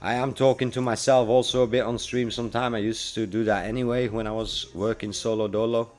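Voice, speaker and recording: A man around forty streams live with music playing faintly behind him. He chats casually to his viewers, using plenty of slang and keeping an easygoing manner. His pitch sits in an ordinary middle range. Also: flat delivery, a little fast, a little mellow. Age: 40s. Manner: laid-back, slangy. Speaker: livestreamer and freelance web developer. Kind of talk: casual livestream chat with viewers.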